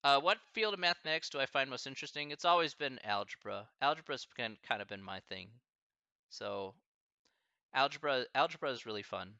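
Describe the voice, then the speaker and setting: A man's voice: medium pitch, animated, fairly quick, vocal fry, bright, forceful tone, dry recording, highs cut off. A man with a Midwestern American accent, a math instructor chatting casually with his online class, thinking aloud with lots of fillers.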